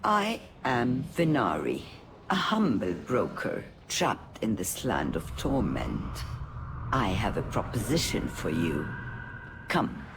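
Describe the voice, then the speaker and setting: An actor voicing a sly, haughty otherworldly broker in a video game, formal and coolly condescending. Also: male voice, lowish voice, wildly swooping pitch, takes it slowly, heavily acted. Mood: sly, intrigued. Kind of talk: video game character dialogue. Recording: game audio with background ambience noise.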